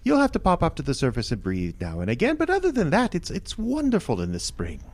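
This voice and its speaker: Man playing a courtly merman suitor, very animated. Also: a little high, quick pace. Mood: eager, cheerful. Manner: formal, old-fashioned diction, earnest. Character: courtly, pushy merman suitor, earnest and domineering. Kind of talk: comic audio drama acting.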